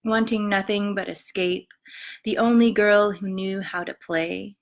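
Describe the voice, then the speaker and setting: A woman poet reads her verse in a measured, quiet way and then talks about her book in a soft, halting voice full of ums. Her voice sits at an ordinary middle pitch. It sounds picked up by a webcam microphone at home. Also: steady tone. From Montana and western Colorado, USA. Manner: soft-spoken, many ums, measured reading. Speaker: poet reading her work. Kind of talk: poetry reading with spoken introductions.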